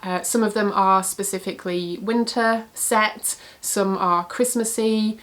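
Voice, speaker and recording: A woman with a stuffy, husky voice from a cold, chatting casually about books she recommends, with frequent filler words. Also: mid-range voice, steady tone, a little slow, fairly bright tone. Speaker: book reviewer.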